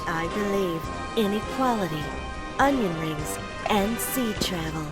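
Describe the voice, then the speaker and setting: A woman's voice: medium pitch, animated, a little slow, over loud music, close to an everyday voice. A woman playing a cool, formidable female dog pirate captain in an audio drama. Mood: poised.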